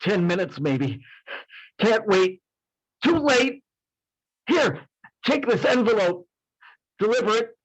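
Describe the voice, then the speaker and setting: A man's voice: sits high, sing-song, slow pace, pauses often, slightly rough, muffled recording, heavily acted. A man playing a dying man who gasps weakly and desperately in broken phrases in a radio drama. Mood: failing, urgent. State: gasping, very weak. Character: dying man gasping desperate last words.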